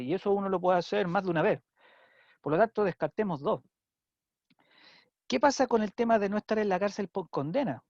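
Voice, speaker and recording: A man's voice: high-pitched, a little mellow, no echo, lo-fi sound. A male teacher with a Chilean Spanish accent giving an online civics class, chatty and checking in often with his students. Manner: conversational, checks in with frequent "¿ya?".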